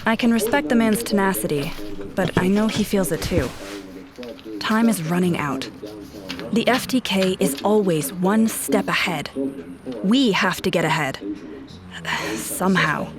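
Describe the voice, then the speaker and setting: An actress playing a guarded, haunted police officer in a scripted audio drama, speaking in terse, brooding, deliberate phrases. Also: female voice, medium pitch, lively intonation, a crowd in the background, close to an everyday voice. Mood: brooding, determined. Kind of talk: scripted audio drama acting with first-person narration. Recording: voice over prominent music bed. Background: dramatic music.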